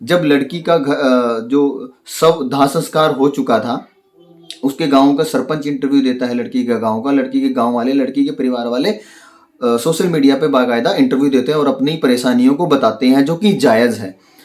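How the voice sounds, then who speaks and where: A man gives unscripted commentary, explaining and arguing his opinions in halting, searching phrases. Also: mid-range voice, darkish tone. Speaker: online commentator analysing a crime case. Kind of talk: unscripted spoken commentary on a crime case.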